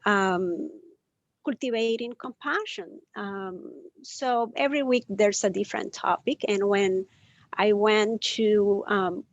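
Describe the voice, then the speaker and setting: A woman's voice: medium pitch, gravelly, no room sound, dull audio. Spanish-native woman from Costa Rica, dry. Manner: warm, gentle, many um pauses. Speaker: guest, compassion trainer. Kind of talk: live video interview.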